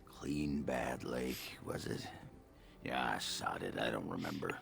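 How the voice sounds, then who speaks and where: Very deep, gravelly dwarf warrior. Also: male voice, expressive, fairly bright tone, faint music behind, full character voice. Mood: crude, fond. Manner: halting, rough, folksy. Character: gruff, crude, bashful male dwarf warrior. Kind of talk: video game character dialogue.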